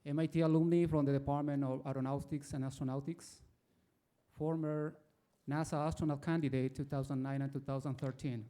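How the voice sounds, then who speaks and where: A man from Ecuador, an audience member who introduces himself formally and tells a story in a measured way before his question. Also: medium pitch, level tone, mellow.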